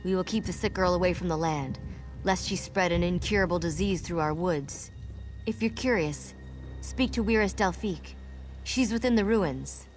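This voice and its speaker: An actress plays an aloof forest witch in a fantasy game. Her voice sits fairly low, formal and suspicious, with faint music underneath. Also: normal speed, close to an everyday voice, low-quality recording. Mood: wary, cool. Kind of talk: video game character acting.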